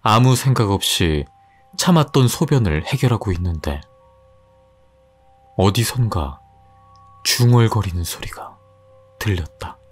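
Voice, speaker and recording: A man narrating a horror story in a slow, suspenseful scripted delivery. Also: fairly low pitch, expressive, breathy edge, faint music behind.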